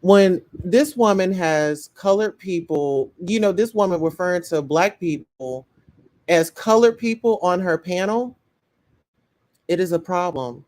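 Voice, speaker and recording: A woman's voice: fairly low pitch, unhurried, a little mellow. A woman hosting a live-stream commentary show, outspoken and talking straight to her chat viewers. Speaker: livestream commentary host.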